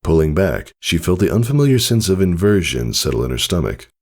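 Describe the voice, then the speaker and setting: Male story narrator: low, gravelly register, expressive dramatic reading. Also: brisk. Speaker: audiobook narrator. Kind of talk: scripted narration of fan fiction, dramatic storytelling.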